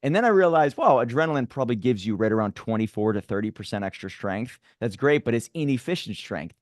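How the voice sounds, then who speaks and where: A man in his late thirties, hosting a podcast, who talks in an animated, emphatic way and swears casually. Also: mid-range voice, quick pace, no room sound, studio quality. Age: 30s. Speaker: podcast host. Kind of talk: casual interview talk.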